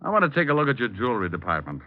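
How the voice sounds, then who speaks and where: An actor playing a brash, slangy, sardonic private detective in old-time radio style. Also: male voice, mid-range voice, very animated, very fast, very noisy, lightly acted. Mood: calm, confident. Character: wisecracking tough male private detective. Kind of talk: 1940s-50s radio detective acting. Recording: dull old radio recording, faint music.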